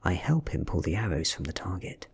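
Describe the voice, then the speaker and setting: A man with a British accent softly narrating a story in ASMR style, breathy and often near a whisper. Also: deep voice, very animated, fairly quick. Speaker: audiobook reader.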